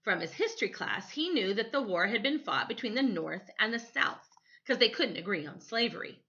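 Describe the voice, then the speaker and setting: A woman's voice: medium pitch, sing-song, normal speed, pushed and bright, muffled recording. A woman reading a children's story aloud expressively, as a storytime teacher.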